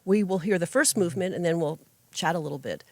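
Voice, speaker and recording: A woman's voice: lowish voice, fast, darkish tone, no room sound. Woman radio host in a dry studio.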